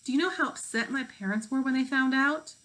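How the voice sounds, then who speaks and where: A woman's voice: sits high, a little fast, fairly bright tone, pitched above the performer's own voice. A woman reading the part of a prim, indignant fifth-grade overachiever, a girl who sounds aggrieved.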